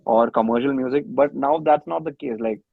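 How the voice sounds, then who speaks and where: A man with an Indian accent giving halting, casual interview answers as a musician, repeating words, over a thin phone-like connection. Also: medium pitch, gravelly, dry recording. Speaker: band musician, interview guest.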